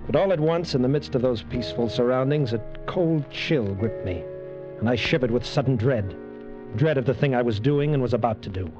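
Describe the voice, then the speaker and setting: A man's voice: mid-range voice, animated, brisk, natural voice. An actor playing a male adventurer in a 1940s radio drama, with a dramatic delivery. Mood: tense, brooding. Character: adventurer narrator, gripped by dread, teasing with women. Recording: vintage lo-fi radio, music behind.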